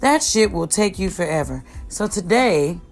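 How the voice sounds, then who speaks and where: A woman with a raspy voice hosting her own podcast from home, rambling casually and full of slang. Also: on the low side, lively intonation, normal speed, soft background music. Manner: slangy, chatty, addresses listeners as y'all. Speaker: podcast host.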